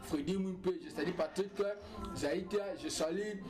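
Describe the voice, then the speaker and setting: A young man from Congo, answering interview questions in a halting way, repeating himself and sending long formal greetings. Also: high-pitched, over music. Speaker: guest, community member. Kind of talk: casual interview answers mixing French and Lingala.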